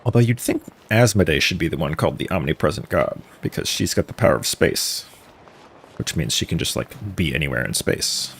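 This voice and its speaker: A man's voice: very deep voice, animated, brisk, creaky, a bit bright, a little hiss or hum. A man giving casual live-stream game commentary in wry, musing asides. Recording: game music behind the voice.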